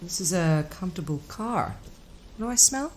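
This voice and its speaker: An actress playing a mysterious, composed woman hitchhiker in an audio drama, speaking in a cryptic, measured, formal manner. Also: on the low side, expressive, darkish tone, slight background noise, lightly acted. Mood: enigmatic, composed.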